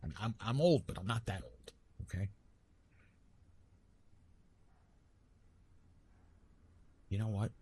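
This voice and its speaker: A man playing Eros, a gently amused, bashful retired god of love, in a halting, teasing, intimate ASMR roleplay monologue. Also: lowish voice, animated, slightly rough. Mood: gentle, curious. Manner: hesitant, halting, teasing, with repeated words.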